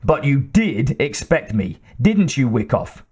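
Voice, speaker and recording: A gruff, sarcastic company boss who booms at his staff, voiced by a man reading the story. He talks in a blunt, impatient way. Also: sing-song, slow pace, darkish tone, full character voice.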